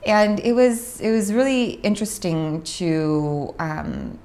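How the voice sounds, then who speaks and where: A young adult woman from Orange County, California, hosting a casual monologue about her spiritual work, unhurried and reflective with frequent pauses. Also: on the low side, animated, darkish tone. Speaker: host, spiritual practitioner.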